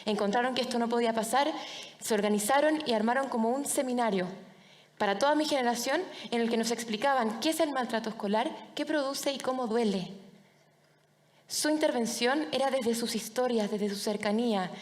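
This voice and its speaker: A young woman with a Chilean accent gives a personal storytelling talk. Her voice sits fairly high. She speaks in short, deliberate phrases with dramatic pauses, and the sound carries the long reverb of a large hall. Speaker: public speaker, anti-bullying foundation director.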